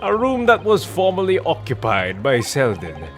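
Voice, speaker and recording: A man voicing an earnest defence lawyer from a game, reading the dialogue in a formal, measured, theatrical way. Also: fairly high pitch, wildly swooping pitch, voice pushed up. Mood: measured, deductive. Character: earnest male defence lawyer, methodical and accusing. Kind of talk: dramatic read-aloud of game dialogue. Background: game music.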